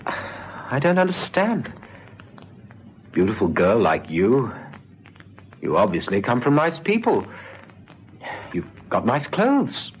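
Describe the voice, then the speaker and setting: An actor playing a gentle, anxious police constable in a vintage radio drama, hesitant and careful. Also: male voice, mid-range voice, wildly swooping pitch, slow, long pauses. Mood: anxious, coaxing. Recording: dull vintage radio recording, faint noise.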